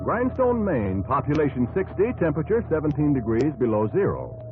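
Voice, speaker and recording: A man's voice: a little high, wildly swooping pitch, fairly quick. An American man narrating a 1950s radio documentary-drama in an earnest, dramatic yet conversational manner. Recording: vintage radio broadcast, narrow band, loud music.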